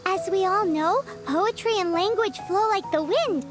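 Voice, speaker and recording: A woman voicing a small, eager, childlike fairy guide in a video game, with a squeaky, perky delivery. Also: measured pace. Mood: eager, explaining. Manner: perky, speaks of self in third person. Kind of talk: video game cutscene acting. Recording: music behind the voice.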